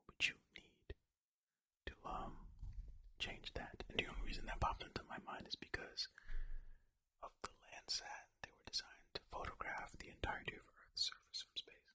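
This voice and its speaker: Hushed, bright whispering ASMR host. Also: normal speed. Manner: whispering throughout; rambling, self-deprecating, jokey tangents. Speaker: host of a video channel. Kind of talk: whispered ASMR reading of facts with casual asides.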